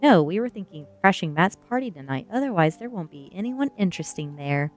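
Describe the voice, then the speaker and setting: A woman's voice: fairly low pitch, big pitch swings, a little fast, soft background music. A woman voicing a rebellious, sardonic sixteen-year-old goth girl in an audiobook, dry and defiant. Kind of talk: audiobook character voice.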